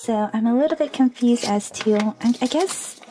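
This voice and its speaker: A woman's voice: a little high, moderate pace, dark tone, faint music behind. A woman hosting a toy unboxing video, chatting cheerfully and gushing over each toy. She is under the weather and losing her voice, and she giggles often. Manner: bubbly and gushing, repeats "super awesome", giggles often. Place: indoors at a tabletop toy display.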